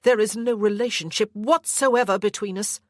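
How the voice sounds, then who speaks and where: A woman's voice: medium pitch, steady tone, moderate pace, no room sound, studio quality, pitched above the performer's own voice, natural voice. A woman reading the part of a young mother standing up to her captor, firm and earnest. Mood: indignant. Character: young mother, defiant and composed.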